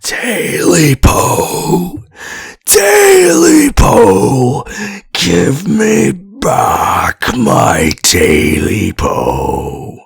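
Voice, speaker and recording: A man voicing a short stubby clawed creature, chanting slowly in a drawn-out wail that swings up into shouts. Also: on the high side, huge pitch swings, creaky, husky, exaggerated voice. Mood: eerie wailing. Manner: slow drawn-out wailing chant, swooping to shouts.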